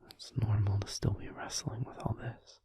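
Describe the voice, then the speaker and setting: A man playing a gentle vampire boyfriend in an intimate comfort roleplay, soothing and tender in a hushed, breathy whisper close to the microphone. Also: fairly low pitch, steady tone. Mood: gentle, understanding. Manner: soothing, tender, slow and reassuring.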